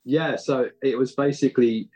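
A man's voice: medium pitch, steady tone, normal speed, darkish tone, breathy edge. A man with a British accent chatting casually as an interview guest. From United Kingdom. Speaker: podcast writer and guest.

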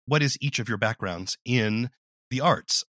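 A man's voice: mid-range voice, measured pace, fairly bright tone, dry recording, studio quality. A man working as interviewer and narrator, reading a scripted radio feature.

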